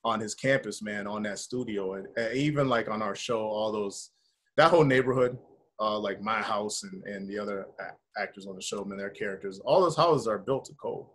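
A middle-aged American man with a husky voice, talking informally as an interview guest on a video call. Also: lowish voice, normal speed, a bit bright. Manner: informal, conversational, peppers talk with "man" and "you know". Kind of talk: casual interview chat. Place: indoor room with bare wall, video call.

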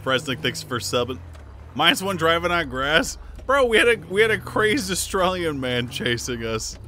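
A man's voice: fairly high pitch, sing-song, normal speed, bright, forceful tone, a little hiss or hum. A man streaming a role-play game, chatting casually, laughing a lot and swearing offhandedly. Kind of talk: casual gaming stream commentary.

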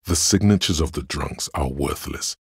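An actor voicing a cool, analytical inner voice of logic in a detective game, narrating in a measured, dryly wry way. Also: male voice, rumbling low, slightly rough, no room sound, studio quality, full character voice. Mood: matter-of-fact. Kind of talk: scripted second-person game narration.

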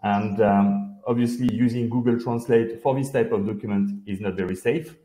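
A middle-aged Frenchman explains his career over a video call, speaking through a headset with a French accent. His voice sits a little low and sounds mellow and dark. The room audio is noticeably echoey, and he stops and restarts often. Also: steady tone, normal speed. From France. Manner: explanatory, many hesitations and restarts. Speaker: guest, company founder. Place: indoor home office.

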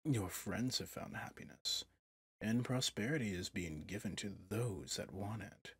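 A possessive villain prince played by a man murmurs to his bride in a low, very breathy and husky voice. He is smug and coaxing, tender with menace underneath. It is an intimate ASMR roleplay, recorded close and dry. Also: expressive, no room sound, heavily acted. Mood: persuasive, insistent. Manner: slow, seductive, menacingly tender.

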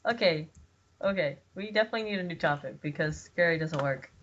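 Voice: medium pitch, fairly quick, a bit bright. A young gamer chatting casually as a co-player in a gaming video. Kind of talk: casual chat while gaming. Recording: dull, clearly noisy mic with clicks.

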